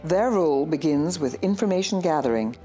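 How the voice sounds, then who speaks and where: A woman's voice: sits low, lively intonation, fairly quick, dark tone, soft background music. A woman presenting a training film in a formal, scripted narration.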